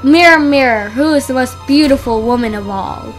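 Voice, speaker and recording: A woman's voice: high-pitched, normal speed, faint music behind, close to an everyday voice. A woman voicing a vain, scheming evil queen, gloating and imperious in a children's storybook tale. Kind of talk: children's storybook play with dolls.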